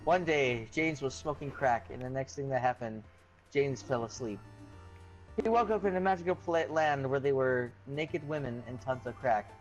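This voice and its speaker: A young man delivers a crude joke story in a flat, deliberate deadpan, in a mid-pitched voice. Music plays clearly behind him. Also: highs cut off. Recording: music in the background.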